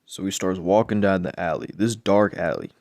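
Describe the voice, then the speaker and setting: A man improvising a crude bedtime story in a deadpan, rambling way, with lots of swearing and repeated words. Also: low voice, moderate pace, dry recording, studio quality.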